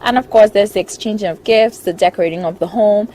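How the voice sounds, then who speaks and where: A woman's voice: medium pitch, fast, warm tone, a little hiss or hum. A young woman from the Bahamas, chatting in an interview, listing things and laughing often. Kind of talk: casual interview.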